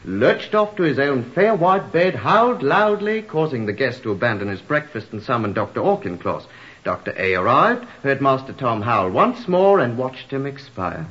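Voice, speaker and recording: A man's voice: medium pitch, very animated, moderate pace, a bit bright. An actor playing a flippant, garrulous man with macabre humour and wordy, mock-literary talk in a radio drama. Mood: macabre relish. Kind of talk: old-time radio drama acting. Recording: dull, muffled old radio recording.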